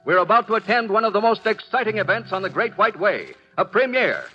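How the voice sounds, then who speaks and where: A man's voice: high voice, big pitch swings, brisk. A man announcing in grand, declaiming 1950s radio style. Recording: muffled vintage radio broadcast.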